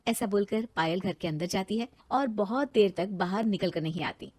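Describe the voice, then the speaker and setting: A woman narrating a cartoon story and voicing a cheeky young heroine, in a lively way. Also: medium pitch, normal speed, husky, no room sound. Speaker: narrator and lead voice.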